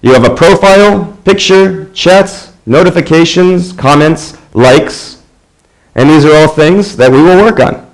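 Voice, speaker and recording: A man in his thirties from the United States, narrating an English lesson in a clear, deliberate way. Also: on the high side, poor audio. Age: 30s. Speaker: English teacher. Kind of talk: scripted classroom narration.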